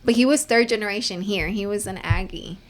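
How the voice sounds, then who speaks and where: A young woman hosting a podcast from a studio mic. She chats casually, laughs easily and tells stories animatedly. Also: mid-range voice. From United States. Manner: chatty, quick to laugh, animated storyteller. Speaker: podcast host.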